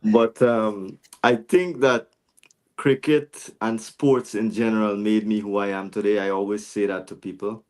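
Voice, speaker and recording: A man's voice: fairly low pitch, steady tone, unhurried, a little mellow. A man in his forties from Guyana, talking reflectively as a podcast guest with frequent restarts. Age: 40s. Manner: reflective, many restarts and fillers. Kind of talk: casual interview chat.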